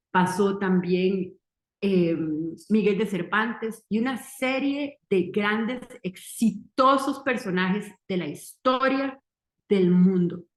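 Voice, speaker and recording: Earnest Costa Rican woman in her forties. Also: medium pitch. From Costa Rica. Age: 40s. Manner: earnest, deliberate, speaks in short emphatic phrases. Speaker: marketing entrepreneur giving a testimonial. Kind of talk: success-story testimonial speech. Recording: roomy echo.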